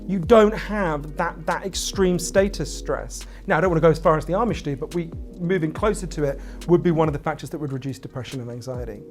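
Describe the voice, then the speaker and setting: A man's voice: on the high side, brisk, hardly any pauses, soft background music. A man giving a conversational, emphatic explanation, often checking in with the listener.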